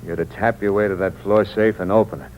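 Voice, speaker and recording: A man's voice: fairly low pitch, fairly even intonation, fast, lightly acted. An actor playing a cold, hard-boiled con man who narrates in the first person in a 1950s radio drama, with tough, clipped slang and a menacing edge. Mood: threatening. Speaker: narrator and lead character. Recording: dull 1950s radio broadcast with hum.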